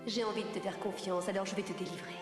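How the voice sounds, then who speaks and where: A woman's voice: mid-range voice, steady tone, quick pace, lightly acted. Echoing fairy lady, played by a woman. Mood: benevolent. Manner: gently admonishing. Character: gentle, admonishing magical fairy lady. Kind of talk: children's animated film dialogue. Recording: heavy reverberant echo, loud music.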